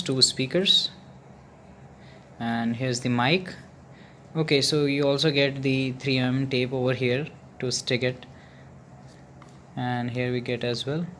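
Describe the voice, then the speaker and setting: Indian-accented man over clear background noise. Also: medium pitch, a bit bright. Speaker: video host, product reviewer. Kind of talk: casual unboxing narration.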